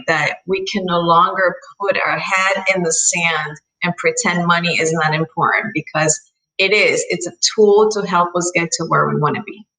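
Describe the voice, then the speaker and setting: A woman's voice: on the low side, pushed and bright. Guest woman wealth advisor, earnest and encouraging, recorded away from the mic in an echoey room. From Colombia. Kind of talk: podcast interview. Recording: roomy echo, distant mic.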